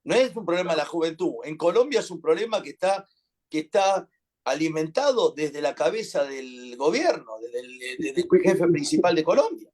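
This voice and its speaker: Brief: Argentine man, teacher on a video call, slightly high voice, earnest and reflective. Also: very animated, darkish tone. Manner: earnest, reflective, halting at times. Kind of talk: online class talk.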